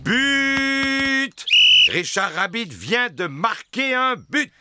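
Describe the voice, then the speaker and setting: A man's voice: very high-pitched, very animated, extremely slow, over loud music, exaggerated voice. A man voicing a jolly, authoritative daddy pig in a French-dubbed preschool cartoon, with a proclaiming delivery. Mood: booming announcing.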